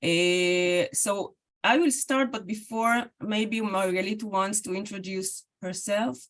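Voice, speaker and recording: A woman with an Israeli accent, a lecturer giving an academic talk over a video call, speaking haltingly with many fillers and restarts. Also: medium pitch, a bit bright.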